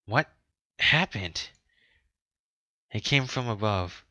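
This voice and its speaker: A man's voice: lowish voice, animated, extremely slow, sharp tone, dry recording. A man streaming a game, reading its text aloud and reacting casually. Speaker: streamer playing a visual novel. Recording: game music behind the voice. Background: game music.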